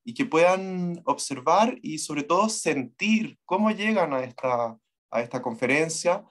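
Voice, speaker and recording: A man with a Chilean Spanish accent giving an online presentation to students, with somewhat hesitant, halting phrasing. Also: on the high side, expressive, fairly bright tone. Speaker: teacher trainer giving an online talk. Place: remote online video call from Santiago.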